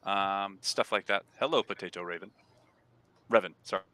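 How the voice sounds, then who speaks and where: An easygoing man co-hosting a casual voice chat, speaking softly. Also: deep voice, moderate pace, dry recording.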